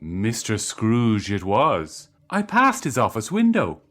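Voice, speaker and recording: A man playing a lively Victorian husband. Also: on the low side, takes it slowly, full character voice. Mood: gossipy. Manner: lively, gossipy storytelling. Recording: faint music underneath.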